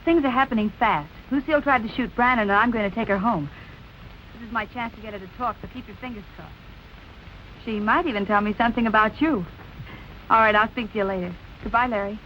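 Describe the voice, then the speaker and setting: An actress playing a brisk, solicitous, scheming young woman sleuth in 1940s film noir style. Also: a little high, sharp tone, a little hiss or hum. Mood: conspiratorial. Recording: dull vintage film soundtrack with music.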